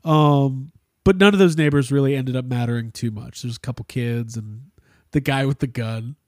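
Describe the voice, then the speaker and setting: A man's voice: medium pitch, moderate pace, a little mellow, dry recording, clean studio sound. A man hosting a casual podcast chat about a film, rambling and jokey, swearing freely. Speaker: podcast host.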